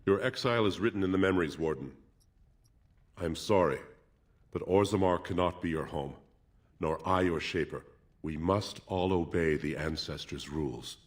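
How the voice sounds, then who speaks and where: An actor playing a solemn, formal older male keeper of ancient records in a video game, speaking in a measured way. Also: low voice, natural voice. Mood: regretful, stern. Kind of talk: video game dialogue acting.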